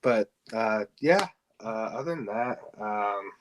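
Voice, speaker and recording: A man's voice: fairly low pitch, animated, slow, slight background noise. A man co-hosting a podcast in casual chat, often hesitating as he searches for words. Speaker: podcast co-host. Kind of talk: casual podcast chat about fan casting.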